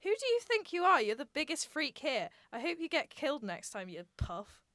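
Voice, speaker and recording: A woman playing a flirtatious, sharp-tongued circus performer in an amateur radio drama, catty and insolent. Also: high voice, huge pitch swings, normal speed, dry recording, clean studio sound, full character voice. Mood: scornful, angry.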